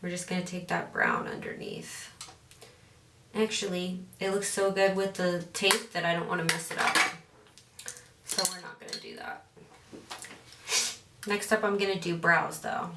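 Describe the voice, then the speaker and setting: Slow, easygoing woman in an echoey room. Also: fairly low pitch, sing-song, creaky, noisy background. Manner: rambling, loses her train of thought. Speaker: beauty vlogger. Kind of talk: casual makeup tutorial chat. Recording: roomy echo, mic at a distance.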